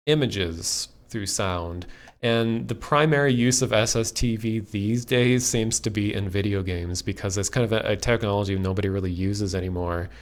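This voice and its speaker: Low-pitched man, casual livestream host. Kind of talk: casual livestream talk explaining a radio hobby.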